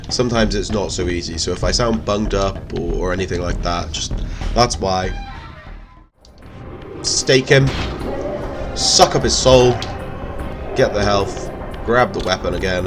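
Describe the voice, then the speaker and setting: A man's voice: deep voice, slow pace, long pauses. A man with a cold, giving rambling, chatty gameplay commentary in a stuffy voice. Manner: rambling, chatty, self-correcting. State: stuffy with a cold and sore throat. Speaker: let's play host. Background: game music.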